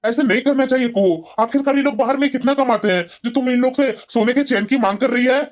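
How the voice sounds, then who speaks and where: A woman's voice: sits high, quick pace, close to an everyday voice. A woman voicing a placating, reasoning husband and brother in a family cartoon drama. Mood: pleading. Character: placating adult man, husband and protective brother. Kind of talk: Hindi family drama storytelling. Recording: dull, lo-fi sound.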